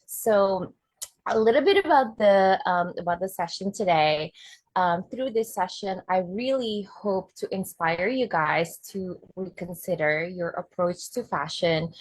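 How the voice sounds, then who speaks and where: A woman from Indonesia presents her talk in an earnest webinar style. Her voice sits fairly low, and the sound is dry and close, with no room around it. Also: breathy edge, no room sound. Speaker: guest speaker, fashion designer.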